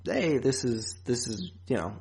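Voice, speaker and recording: A man's voice: mid-range voice, expressive, unhurried, a little mellow. A man hosting a podcast, chatting casually about films and often restarting his thoughts. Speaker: podcast host. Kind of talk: casual film review chat.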